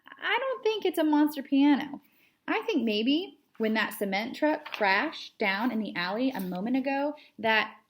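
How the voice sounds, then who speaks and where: A woman's voice: sits high, very animated, a little slow, slight background noise, voice pushed up. A woman voicing a patient, sensible but exasperated male storybook cat who explains things carefully and hesitantly. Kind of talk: children's storybook character read aloud.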